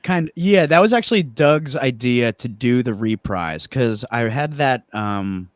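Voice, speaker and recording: A man's voice: mid-range voice, expressive, measured pace, no echo, studio quality. A man chatting casually as a musician guest about his songwriting, in a loose, rambling way. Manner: rambling, loosely hedging with "like" and "kind of". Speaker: musician guest, band frontman.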